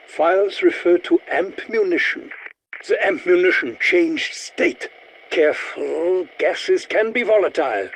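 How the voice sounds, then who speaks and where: An actor playing an aging, polite but indignant German scientist in a video game, speaking in a formal, pedantic, theatrical way with a German accent. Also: male voice, a little high, slight background noise, poor audio. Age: older. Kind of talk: video game character voice lines.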